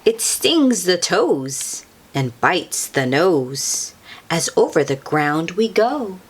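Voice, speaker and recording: A woman reading a picture book aloud to young children as host, in an expressive, sing-song way with questions and soft exclamations for the listener. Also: fairly low pitch, takes it slowly, a little hiss or hum.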